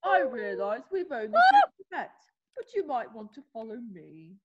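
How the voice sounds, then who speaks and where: A woman's voice: high voice, huge pitch swings, moderate pace, dull audio, background noise. A woman playing a suave, sly, witty male fox with an ironic way of talking in an amateur readthrough. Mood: suave, urgent.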